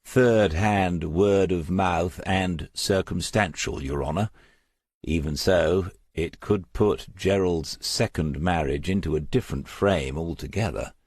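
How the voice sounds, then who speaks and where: A man's voice: deep voice, takes it slowly, a little mellow, breathy edge, no room sound, full character voice. A man voicing a wry, patient older detective in an audiobook, dry and probing in manner. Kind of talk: audiobook character dialogue.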